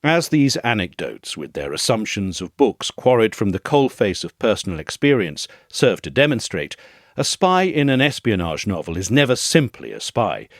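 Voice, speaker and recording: A man reads a scripted literary essay in a measured, articulate and expressive way. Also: on the low side, no room sound, studio quality. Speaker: audio essay reader.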